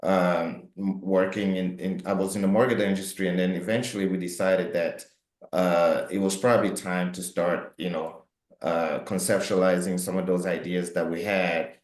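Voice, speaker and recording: A man's voice: low-pitched, level tone, moderate pace, reverberant. A man from Cameroon, co-founder of a housing company, answering interview questions with many fillers and restarts.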